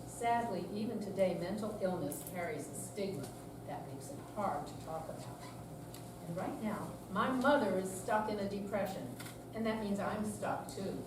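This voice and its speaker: A woman's voice: mid-range voice, normal speed, lightly acted. An older woman in a staged reading, playing a candid seventy-year-old woman who is weary but resolute about managing depression. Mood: earnest, troubled. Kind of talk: scripted dramatic reading. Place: senior center room, live performance. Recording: echoey room, distant mic, clear background noise.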